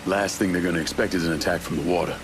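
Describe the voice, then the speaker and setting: A young adult American actor playing a hard, confident war veteran in a dramatic video game. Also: male voice, deep voice, fairly even intonation, racing, background noise, lightly acted. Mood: cool, determined. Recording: music behind the voice.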